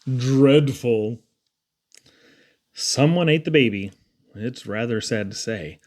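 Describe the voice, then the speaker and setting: A man's voice: mid-range voice, slow, darkish tone. A man reading humorous children's poems aloud in a theatrical, playful storytelling manner. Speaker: poetry reader.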